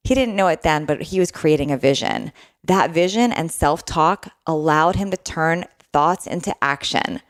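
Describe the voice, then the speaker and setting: A woman's voice: sits low, normal speed, no echo, clean studio sound. Upbeat woman podcast host. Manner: enthusiastic, upbeat. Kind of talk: podcast interview.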